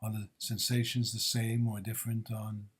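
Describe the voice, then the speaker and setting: A man leading a guided body scan meditation as a teacher, speaking in measured, gently instructing short phrases with pauses between them. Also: on the low side, level tone, husky.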